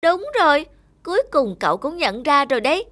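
A woman's voice: sits high, big pitch swings, brisk, heavy background noise. A woman voicing a haughty, vain young female porcelain bowl in a cartoon, snooty and dramatic. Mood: smug. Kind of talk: animated cartoon character acting. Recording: music behind the voice.

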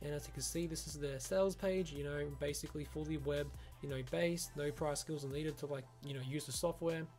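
A man giving a casual, rambling software review, often saying "you know" and "like". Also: fairly high pitch, steady tone, a little fast, hardly any pauses. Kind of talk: casual screen-recorded review. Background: background music.

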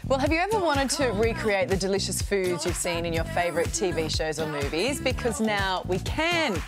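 A woman presenting a morning TV segment from a studio in a bright, friendly way. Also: medium pitch, very animated, normal speed, loud music behind the voice. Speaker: morning TV host.

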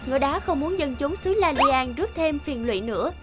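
A woman's voice: high voice, lively intonation, fairly quick. A woman narrator reading a scripted fairy tale as a storyteller. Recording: music behind the voice.

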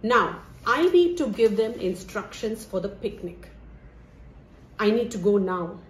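A woman's voice: medium pitch, lively intonation, lightly acted. Woman doing wicked greedy stepmom, drawn out dramatic delivery, echoey room w faint noise, amateur comedy. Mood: smug, scheming. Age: middle-aged. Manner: theatrical, deliberate, complaining. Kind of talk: amateur comedy stage-style acting. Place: indoor home living room.

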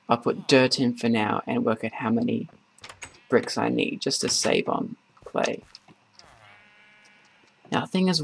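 Creaky-voiced man rambling over gameplay. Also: medium pitch. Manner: rambling, halting delivery. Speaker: gaming video host. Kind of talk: casual gameplay commentary. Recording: noisy, keyboard and mouse clicks.

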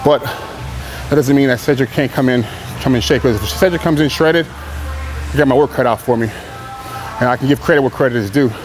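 A man's voice: medium pitch, brisk, poor audio. Male pro bodybuilder, 30s, candid rambling gym chat, camera mic, music behind. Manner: laid-back, candid, rambling with fillers. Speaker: professional bodybuilder. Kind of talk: casual talk to camera between sets. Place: large indoor gym.

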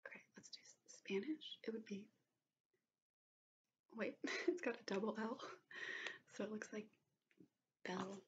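A woman in her twenties doing gentle, soothing ASMR in a soft, breathy whisper. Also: medium pitch, a little hiss or hum. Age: 20s. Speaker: ASMR host. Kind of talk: whispered ASMR personal-attention roleplay. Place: small bedroom, indoors. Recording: dull lo-fi webcam-style sound.

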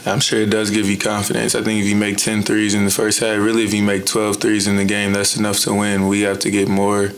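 Flat-voiced young man at postgame presser. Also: lowish voice. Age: young adult. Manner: measured, even, blunt, frequent "you know". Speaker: college basketball player. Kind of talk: postgame press conference answers. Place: indoor press room. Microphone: table mic in front.

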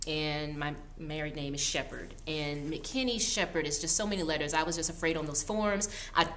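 Low-voiced easygoing woman, faint room hum. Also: moderate pace, a bit bright. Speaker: guest mom. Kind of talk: casual chat.

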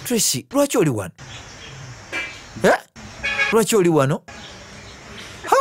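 A man's voice: high voice, wildly swooping pitch, normal speed, music in the background. A man with a Ugandan accent working as a video jockey, narrating and translating a film live over its soundtrack. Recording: noisy film soundtrack underneath. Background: original film dialogue and noise.

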